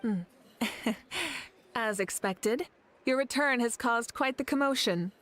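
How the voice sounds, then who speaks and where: A woman voicing a poised, courteous official in a video game, with a calm, formal and tactful manner. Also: fairly high pitch, slow pace. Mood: composed, formal. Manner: polite, composed, formal.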